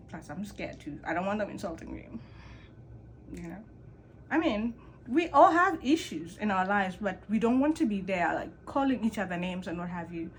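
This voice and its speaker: A woman's voice: a little hiss or hum, rough recording. A woman vlogger from Kenya, talking candidly about letting go of control in a conversational personal monologue. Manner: candid, conversational, animated emphasis with pitch jumps. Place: Canada.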